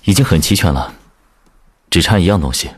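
An actor playing a composed company boss in an audio drama, speaking in a measured, restrained and terse way. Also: male voice, deep voice, animated, a little hiss or hum. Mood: intimate. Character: composed, devoted male company boss in love.

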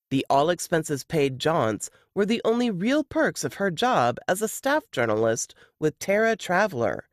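A woman narrating a novel excerpt in an expressive audiobook style. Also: deep voice, no room sound, studio quality.

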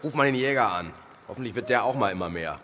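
Grumpy, dry worker in heaven. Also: male voice, lowish voice, animated, fast talker, pushed and bright, slight background noise. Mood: grumbling. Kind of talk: German radio play acting.

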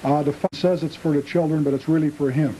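Relaxed American man answering interview questions. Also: a little high, quick pace, slight background noise, poor audio. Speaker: interview guest, appliance store proprietor and train collector.

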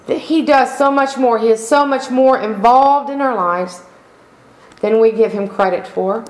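A middle-aged woman pastor delivering a sermon in slow, emphatic preaching style, calling out for amens. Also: mid-range voice. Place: church sanctuary. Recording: echoey room.

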